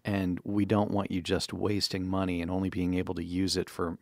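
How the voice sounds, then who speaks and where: American man, deep voice, casual podcast co-host, close mic, dry recording. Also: completely flat delivery, a little fast, gravelly, no echo. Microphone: close studio microphone.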